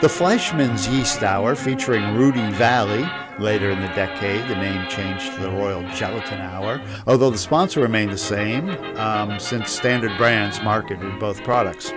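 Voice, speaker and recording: A man with a fairly low voice presents as a podcast host. He reads scripted narration about vintage radio, and music plays clearly behind him. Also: moderate pace, few pauses.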